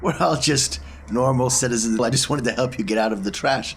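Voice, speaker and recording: A man's voice: medium pitch, a little fast, a little hiss or hum. A man taking part in a tabletop role-playing game with casual table talk.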